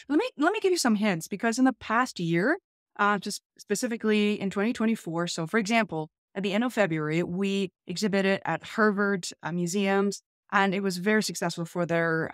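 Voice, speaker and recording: A woman's voice: mid-range voice, expressive, normal speed, dry recording. Female video host, 30s to 40s, conversational demo delivery, dry home recording. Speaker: YouTube host. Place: small home room, indoors.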